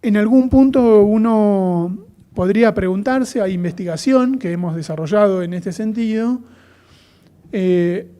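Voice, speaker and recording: A man from Argentina with an Argentine accent, giving a thoughtful academic lecture on education policy, pausing often with fillers and restarting phrases. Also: high-pitched, warm tone. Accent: Argentine Spanish.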